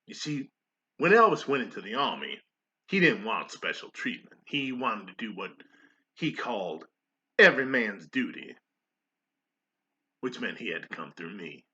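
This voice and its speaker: A man playing a folksy army staff sergeant and mess cook, telling his story in a theatrical monologue with pauses and emphatic swells. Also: lowish voice, sing-song, bright, forceful tone, heavily acted. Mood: folksy, nostalgic. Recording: dull, lo-fi sound.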